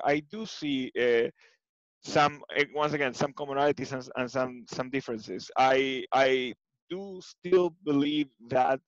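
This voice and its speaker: A man in his forties with an Argentine accent, a native Spanish speaker, answering interview questions in a halting, searching way over a headset on a video call. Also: mid-range voice, no room sound. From Buenos Aires, Argentina. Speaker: interview guest, tech executive. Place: living room at home, indoors. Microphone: headset with boom mic, video call. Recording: slightly muffled video-call audio.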